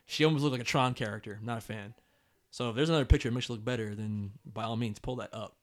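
A man's voice: medium pitch, fast. A man co-hosting a casual podcast, reading listener emails aloud and joking, often laughing. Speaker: podcast co-host.

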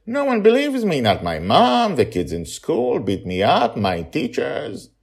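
A man's voice: medium pitch, huge pitch swings, unhurried, gravelly, pitched above the performer's own voice, exaggerated voice. A man voicing an earnest young boy who insists he is telling the truth. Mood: upset. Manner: pleading, insistent.

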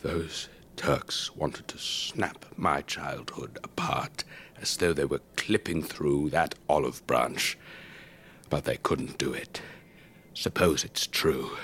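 An actor playing an elderly English retired air force officer, telling his story in a measured, wistful, old-fashioned way for a radio drama. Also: very deep voice, a bit bright, exaggerated voice. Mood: pained, reflective. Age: older. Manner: measured, old-fashioned, reflective, breathy trailing phrases. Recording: faint background noise.

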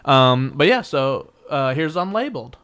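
A man's voice: medium pitch, sing-song, normal speed, heavy background noise. A young man from Kansas with a slight Midwestern twang, hosting a podcast in a rambling, joking way full of restarts. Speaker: podcast host. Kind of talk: casual chat.

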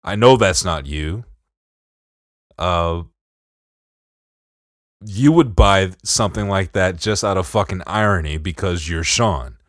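A man with a Detroit accent and a very low voice, talking in loose, profane podcast banter. He keeps it laid-back and easy, leaning on "bro". The sound is dry and close. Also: takes it slowly, pauses often, no echo. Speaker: podcast co-host. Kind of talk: casual chat.

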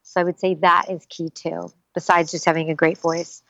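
A woman's voice: on the low side, flat delivery, normal speed, creaky, fairly bright tone, no room sound, dull audio. A middle-aged woman voice actress, chatting animatedly in an interview and slipping into little character voices. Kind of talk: casual interview talk.

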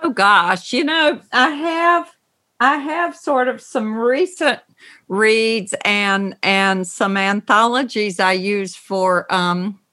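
A middle-aged woman from North Carolina with a Southern accent, speaking thoughtfully as an interview guest over a video call from her writing room, pausing and restarting often. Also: mid-range voice, pushed and bright. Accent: Southern American. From North Carolina, USA. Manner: slow, thoughtful, with many pauses and restarts. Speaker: novelist guest.

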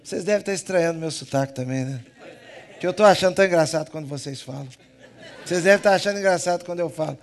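A man with a Minas Gerais Brazilian accent, preaching a humorous sermon in church, jumping between loud emphatic lines and soft asides. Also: fairly high pitch, rapid-fire. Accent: Minas Gerais Brazilian Portuguese.

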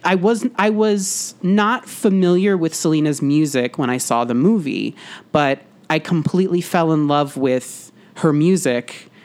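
A man's voice: on the high side, animated, unhurried, a little mellow. A man speaking as a podcast guest, telling personal stories in a casual, reflective, explanatory way.

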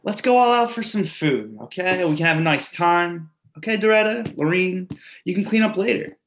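A man's voice: high-pitched, lively intonation, moderate pace, a bit bright. A man in a play reading, playing an anxious, needy man with a stammering, pleading manner. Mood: coaxing. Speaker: actor in a staged play reading. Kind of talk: readers theatre acting.

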